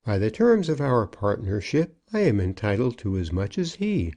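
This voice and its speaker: An earnest young junior partner from a storybook, played by a male narrator. He speaks formally and pompously, pressing every point with swooping intonation and a husky, creaky edge. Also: fairly low pitch, sing-song, moderate pace, warm tone. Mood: firm. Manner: declamatory, formal, insistent. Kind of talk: audiobook character acting.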